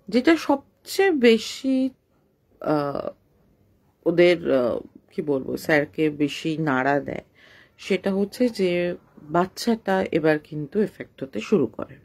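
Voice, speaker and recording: A woman's voice: lowish voice, darkish tone. A woman telling a ghost story in an unscripted, conversational way, explaining and rephrasing as she goes, with English words mixed in.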